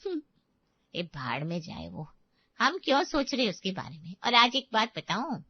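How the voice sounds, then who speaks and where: A woman's voice: medium pitch, very animated, normal speed, breathy edge, no room sound, muffled recording. A woman playing a spiteful, scheming middle-aged aunt in a family story, scornful and gossiping.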